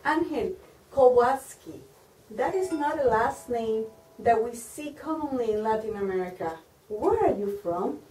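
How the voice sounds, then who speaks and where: A woman's voice: fairly high pitch, animated, unhurried, mellow. Woman host asking questions, echoey room. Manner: brief, direct questions. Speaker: interviewer, host. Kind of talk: interview questions. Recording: echoey room, mic at a distance.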